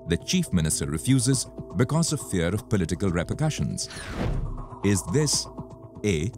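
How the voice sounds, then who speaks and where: A man hosting a quiz in a scripted presenting style, reading out questions and answer options in a deliberate, measured way. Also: fairly low pitch, sing-song, music in the background. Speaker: quiz show host.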